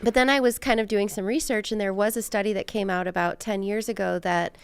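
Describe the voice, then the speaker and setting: A woman's voice: medium pitch, fairly even intonation, brisk, creaky, clear, resonant tone, no room sound. An American woman hosting a podcast, chatting casually and informally with lots of "like" sprinkled in. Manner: conversational, informal, frequent use of "like". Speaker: podcast host. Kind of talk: casual interview chat.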